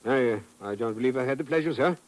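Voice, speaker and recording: Man as a fast, pompous husband. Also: medium pitch, natural voice, poor audio. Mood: indignant. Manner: blustery, pompous. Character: pompous, indignant English husband on holiday.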